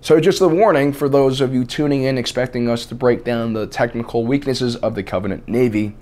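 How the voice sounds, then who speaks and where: A man hosting a scripted video essay, with a slightly raspy voice and a deadpan, mock-serious delivery. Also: mid-range voice, fairly quick. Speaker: host.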